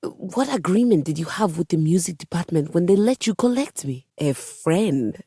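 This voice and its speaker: A woman's voice: fairly low pitch, warm tone, husky, no echo, studio quality. A woman voicing a vain, refined piano diva in a video game, speaking in a grandiose, pompous way with precise wording. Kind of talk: theatrical video game character acting.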